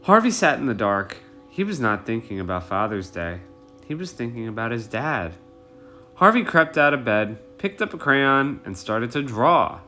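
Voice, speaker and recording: A man reading a children's picture book aloud expressively, in an animated way for young listeners. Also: mid-range voice. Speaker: read-aloud storyteller.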